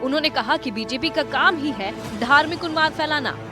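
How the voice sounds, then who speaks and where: A woman's voice: high voice, normal speed, over music. A woman reading scripted narration for a news report.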